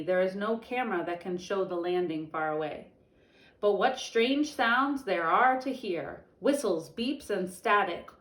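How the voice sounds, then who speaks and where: A young woman teacher reading a picture book aloud to children, stopping for chatty explanations. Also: mid-range voice. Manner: expressive storybook reading broken up by chatty explanations. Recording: echoey room.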